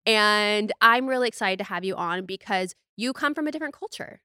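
A young American woman hosting a podcast, chatting and telling personal stories in an informal, animated way. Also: medium pitch, a little fast, clear, resonant tone, no echo, clean studio sound. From United States. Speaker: podcast host. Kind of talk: casual chat and personal storytelling.

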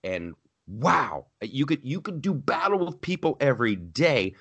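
A man co-hosting a podcast, in animated political chat, often repeating himself and mocking others in put-on voices. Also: medium pitch, measured pace, breathy edge. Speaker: podcast co-host.

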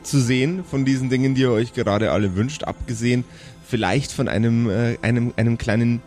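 A man's voice: mid-range voice, fairly quick, darkish tone, soft background music. Guy game mastering a pen and paper audio play, mid voice, descriptive, makes it up as he goes. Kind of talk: improvised tabletop game narration.

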